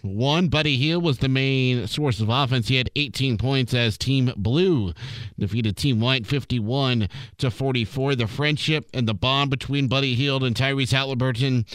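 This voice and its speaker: A man's voice: mid-range voice, normal speed, a bit bright, no echo, low-quality recording. A man reading a scripted sports radio update as a reporter.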